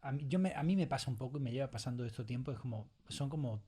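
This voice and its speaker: Fast, husky Spanish man, chatting casually. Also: medium pitch, dark tone. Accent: Spain. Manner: colloquial, informal. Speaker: fiction creator being interviewed. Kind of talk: casual chat.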